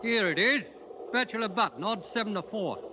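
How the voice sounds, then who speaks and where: Casting: plain-spoken Londoner, high male voice, familiar manner, 1930s radio drama with noisy sound. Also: lively intonation, a little fast. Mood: chatty. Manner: familiar, colloquial. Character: chatty, plain-spoken London train passenger reading news. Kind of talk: 1930s radio character acting. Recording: noisy, dull old radio broadcast.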